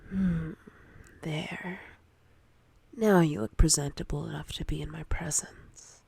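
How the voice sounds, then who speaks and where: Woman acting breathy, domineering female boss. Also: deep voice, fairly even intonation, warm tone, no echo, exaggerated voice. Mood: teasing, possessive. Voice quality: breathy, often whispered. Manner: slow, deliberate, commanding, menacingly teasing. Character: domineering, predatory female boss toying with a nervous employee. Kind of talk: intimate roleplay ASMR.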